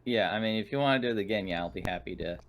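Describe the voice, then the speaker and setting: A man's voice: lowish voice, very fast. A man chatting casually as a player in a tabletop roleplaying game, talking through his rolls.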